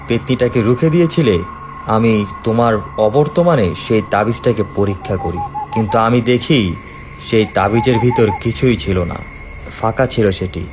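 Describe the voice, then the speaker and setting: A man's voice: medium pitch, close to an everyday voice. A man voicing a shrewd, resolute investigator in a horror cartoon, explaining things earnestly. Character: shrewd, resolute male investigator exposing a culprit.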